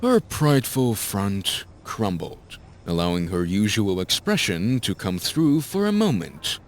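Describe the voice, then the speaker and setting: Male narrator, scripted story reading, animated pitch, dramatic, faint noise behind. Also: measured pace.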